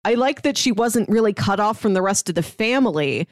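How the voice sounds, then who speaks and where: A woman's voice: mid-range voice, lively intonation, brisk, no room sound, studio quality. A woman co-hosting a podcast, casually discussing a film in a conversational, easily amused way. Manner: conversational, often laughs while talking. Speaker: podcast co-host.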